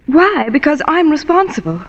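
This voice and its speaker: Coy, lilting 1940s radio femme fatale. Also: female voice, sits high, very animated, normal speed. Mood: mock distress. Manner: coy, polished, teasing. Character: sultry, wealthy, teasing femme fatale wife. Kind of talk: 1940s radio noir acting. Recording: muffled, reverberant old radio broadcast.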